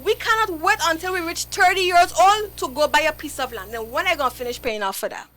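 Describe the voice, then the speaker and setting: A woman's voice: extremely high pitch, a little fast, sharp tone. A young woman, a guest on a live radio interview, speaking earnestly and pleading her point to the host.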